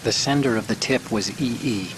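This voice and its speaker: A man's voice: mid-range voice, moderate pace, lightly acted. An actor playing a nervous male hacker giving radio support, talking hesitantly and anxiously in a video game cutscene. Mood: worried. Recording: game audio over steady rain noise. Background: rain.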